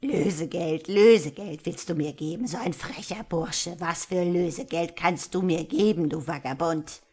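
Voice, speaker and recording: A woman's voice: lowish voice, normal speed, darkish tone, breathy edge. A woman storyteller plays an old witch from a fairy tale. She is spiteful and greedy. She scolds and threatens with real menace. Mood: scornful.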